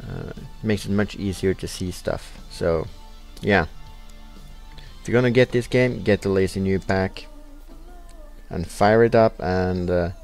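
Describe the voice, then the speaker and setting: A man's voice: low-pitched, a little slow, darkish tone, soft background music. A young man with a Norwegian accent casually commenting on a computer game, hesitant and full of fillers as he reads the screen. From Norway. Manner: hesitant, many uh fillers, reading in-game text aloud. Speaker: let's play host.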